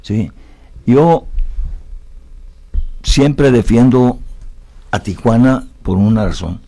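A man's voice: medium pitch, lively intonation, drawn-out words, dark tone. A man from Italy talking reflectively as an interview guest, philosophical and often tagging his points with questions seeking agreement.

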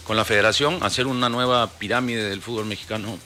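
A Peruvian man in his forties, a football club executive answering radio interview questions in a measured, explanatory way with many fillers. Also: lowish voice, fairly even intonation, bright, forceful tone, soft background music. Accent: Peruvian Spanish. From Peru. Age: 40s.

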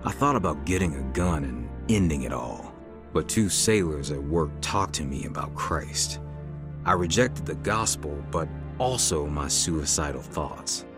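Troubled young man, low narrating voice. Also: animated, unhurried, music in the background, full character voice. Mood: somber, reflective. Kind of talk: dramatized radio testimony, scripted narration and dialogue.

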